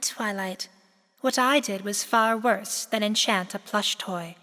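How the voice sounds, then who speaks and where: A woman's voice: medium pitch, slow, bright, forceful tone. A woman acting a remorseful, lonely pony princess of the night in a fan radio drama, speaking in a solemn, formal, emotional way. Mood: haunted, mournful. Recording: long hall reverb.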